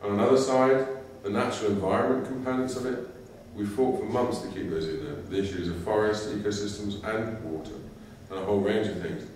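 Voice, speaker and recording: A man's voice: lowish voice, fairly quick, few pauses, darkish tone, a little hiss or hum. A blunt, exhausted man speaking as a climate adaptation official, swearing freely in an interview. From United Kingdom. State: exhausted from lack of sleep. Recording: echoey room, voice from far off.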